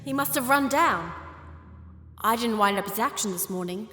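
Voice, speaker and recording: A woman's voice: fairly high pitch, animated, moderate pace, bright, forceful tone, roomy sound, in a large hall, a little hiss or hum. A woman playing an earnest, anxious young princess in an audio drama, with heartfelt, emotional delivery. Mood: anxious, tender. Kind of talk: audio drama acting with first-person narration.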